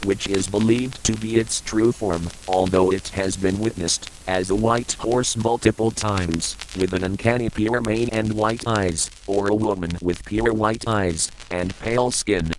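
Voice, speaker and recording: A man playing a solemn government emergency broadcast announcer in an analog horror piece, formal and measured. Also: on the low side, slight background noise, lightly acted, poor audio.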